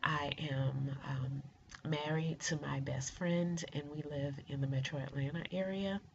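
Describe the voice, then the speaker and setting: Middle-aged US woman, deep raspy voice thick with a cold, halting informal self-introduction, muffled recording. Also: fairly even intonation. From rural Georgia, United States. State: congested with a cold. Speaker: doctoral student introducing herself. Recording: muffled lo-fi video, faint noise.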